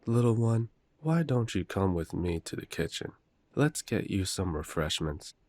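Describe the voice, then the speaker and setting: A man playing a courteous, protective eldest werewolf brother in an audio roleplay, gently authoritative and polite. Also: deep voice, big pitch swings, unhurried, slight background noise, exaggerated voice. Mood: gentle, reassuring. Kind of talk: roleplay audio drama acting.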